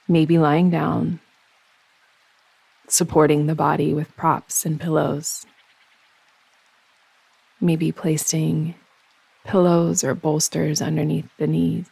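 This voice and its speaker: A woman leads a guided meditation in a husky, very even voice. She gives soothing instructions in unhurried phrases with pauses between them. The recording is close and dry. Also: sits low, no room sound, low-quality recording. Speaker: yoga nidra meditation guide.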